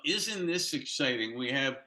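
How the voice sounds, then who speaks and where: A man's voice: mid-range voice, lively intonation, a little slow, sharp tone. An older man hosting an interview series, friendly and rambling, often restarting his questions. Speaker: host. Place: Los Angeles.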